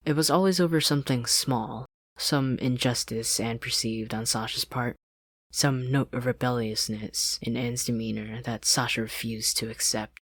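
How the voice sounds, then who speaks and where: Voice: mid-range voice, dry recording. A narrator reading fan fiction as scripted story narration, close to the microphone. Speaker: podfic narrator. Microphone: close studio-style desk microphone, dry.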